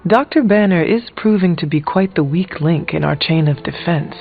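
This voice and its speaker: Formal, earnest warrior, thin-sounding. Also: male voice, a little high, vocal fry, phone-quality sound, soft background music. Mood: frank, composed. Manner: formal, earnest, measured wording. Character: earnest, formal adult warrior hero called Hunter. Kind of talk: video game dialogue.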